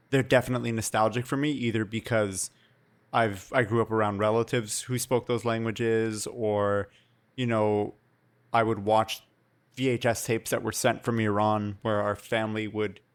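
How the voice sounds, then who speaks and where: A young man from Canada co-hosting a podcast, talking in a thoughtful, reflective and somewhat hesitant way. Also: fairly low pitch. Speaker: podcast co-host. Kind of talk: casual chat.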